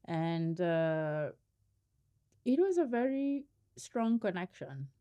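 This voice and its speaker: A woman's voice: fairly low pitch, slow, smooth. A middle-aged woman from Kenya, a guest in a casual interview, telling stories with frequent laughter. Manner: laughs often, animated storytelling.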